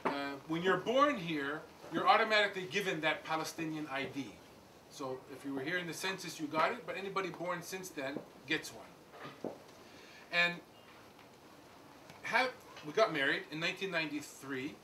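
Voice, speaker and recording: Middle-aged guy from the us telling his story to a group, a bit high voice, mic kinda far off, background noise. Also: normal speed, pushed and bright. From United States. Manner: conversational, explains step by step with asides. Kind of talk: informal talk to a group. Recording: distant mic with audible room noise.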